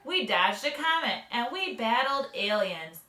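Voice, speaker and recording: Woman as a high-voiced, food-obsessed storybook pig. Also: sing-song, a little slow, bright, forceful tone, reverberant, full character voice. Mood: proud, reporting. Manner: questioning, exclaiming.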